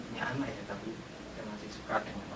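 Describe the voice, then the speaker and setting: A man's voice: lowish voice, fairly even intonation, fast talker. Echoey young man. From Indonesia. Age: 20s. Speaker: podcast guest. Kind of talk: casual interview chat. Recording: echoey, distant, noticeable background noise.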